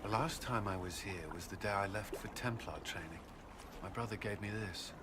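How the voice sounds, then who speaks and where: A man playing an earnest former Templar commander haunted by guilt, speaking haltingly and formally in a dramatic video game. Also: on the low side, background noise, close to an everyday voice. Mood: tender, reflective.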